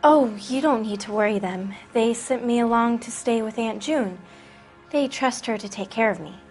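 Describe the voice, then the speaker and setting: Woman playing a high-voiced, frightened teenage runaway girl. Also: normal speed, a little mellow, soft background music, close to an everyday voice. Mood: defensive, hurt. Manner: hesitant, plaintive, evasive. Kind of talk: video game acting.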